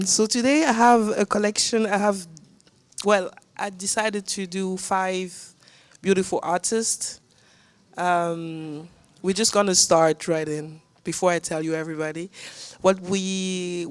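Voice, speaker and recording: A woman's voice: lowish voice, steady tone, unhurried, clean tone, no echo. A woman from Cameroon presenting a listening session to an audience, talking casually with many fillers and restarts.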